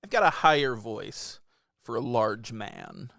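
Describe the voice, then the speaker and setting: A man's voice: mid-range voice, lively intonation, unhurried, gravelly, a bit bright, no room sound, studio quality. A man in his thirties co-hosting a podcast, teasing his partner in casual comedic chat and laughing often. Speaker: podcast co-host.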